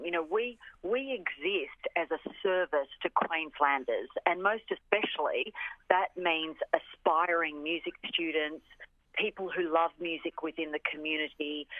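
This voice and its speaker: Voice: mid-range voice, no echo. Arts spokesperson for radio interview, phone-quality sound, keen explainer who stresses points by repeating. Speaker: orchestra representative being interviewed.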